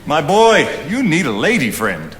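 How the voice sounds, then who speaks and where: A man's voice: a little high, wildly swooping pitch, unhurried. A man acting on stage as a fatherly, teasing older friend and mentor, with an amused, expansive delivery. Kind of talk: theatrical stage play acting. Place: on stage in a theatre. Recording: echoey theatre stage, faint background noise.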